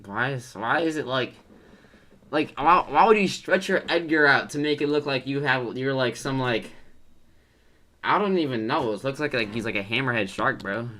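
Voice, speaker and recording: A man's voice: mid-range voice, normal speed, bright, forceful tone. A young man talks over a video he is watching on stream, in a loose, casual way. He rambles, repeats "like" often and trails off mid-thought. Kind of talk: casual reaction commentary.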